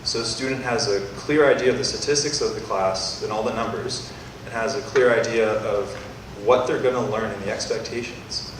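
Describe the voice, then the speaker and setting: A man's voice: fairly low pitch, fairly even intonation, normal speed. Young male student presenter, eager, rambling, faint noise. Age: young adult. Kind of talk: pitch Q&A answer. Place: university event hall. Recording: echoey hall, distant mic.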